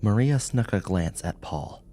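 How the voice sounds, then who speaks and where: Deep, slow male horror narrator. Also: lively intonation, warm tone, a little hiss or hum. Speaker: audiobook narrator. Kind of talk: scripted horror story narration.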